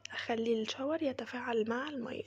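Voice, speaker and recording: A woman with an Egyptian Arabic accent and a cold, talking through a casual how-to tutorial in a soft, mostly whispered, chatty way. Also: a little high, fast talker, slightly rough, dull audio. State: has a cold, voice changed. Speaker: crochet maker demonstrating cleaning.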